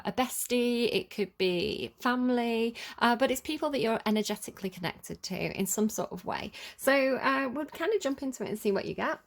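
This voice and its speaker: A woman with a Manchester accent giving a casual, chatty tarot reading to viewers, informal and hesitant as she thinks aloud. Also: a little high. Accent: Manchester English. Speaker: tarot reader, channel host.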